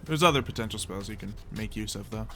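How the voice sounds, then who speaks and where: Bright-voiced man running a tabletop game. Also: fairly low pitch, fast talker, faint music behind. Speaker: game master. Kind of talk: casual improvised tabletop narration.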